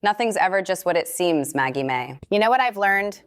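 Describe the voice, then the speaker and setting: An actress voices a curious, irreverent woman in a naturalistic audio drama. Her low voice is casual, playful and a little crude, recorded close with no room sound. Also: lively intonation, brisk, no room sound, natural voice. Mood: teasing, frank. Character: teasing, nosy, irreverent woman, remembered friend.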